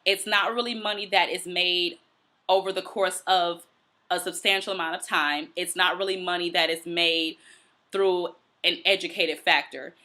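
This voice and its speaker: An American woman hosts a reflective solo commentary show. Her voice sits a little low for a woman but has a bright, forceful edge. She explains her points deliberately, as if walking a listener through an argument. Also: fairly even intonation. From Detroit, United States. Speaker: host of a commentary and book review show.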